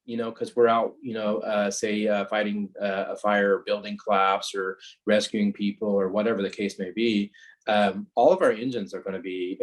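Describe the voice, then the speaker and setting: A man from California, a fire captain giving an informal, halting, conversational explanation as guest speaker on a video call. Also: on the low side, rough recording. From California, United States.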